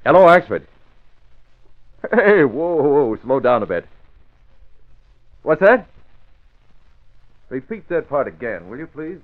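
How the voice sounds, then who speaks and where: An actor playing a decisive, businesslike newspaper publisher in an old-time radio drama. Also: male voice, a little high, big pitch swings, slow, pauses often, full character voice. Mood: commanding. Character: brisk, authoritative male newspaper publisher. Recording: tinny vintage radio recording.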